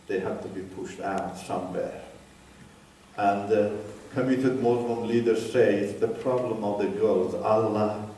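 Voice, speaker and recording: A man's voice: on the low side, mellow, recorded from a distance, a little hiss or hum. Older man, echoey hall. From Iran. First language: Turkish. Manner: emphatic, in short halting phrases. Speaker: guest speaker. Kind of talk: personal testimony talk. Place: church hall.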